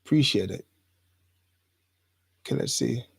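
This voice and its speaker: A man from Jamaica hosting a livestream, chatting informally to viewers in a rambling way and pausing as he goes. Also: mid-range voice, vocal fry, slightly rough, dry recording. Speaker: livestream host. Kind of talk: casual livestream chat to viewers. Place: studio.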